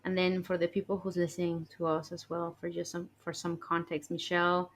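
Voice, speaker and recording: A young woman with a slightly low voice co-hosts a casual podcast. She asks curious follow-up questions and nods along with short affirmations. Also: monotone, a little fast, a little hiss or hum. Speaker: podcast co-host. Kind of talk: casual chat.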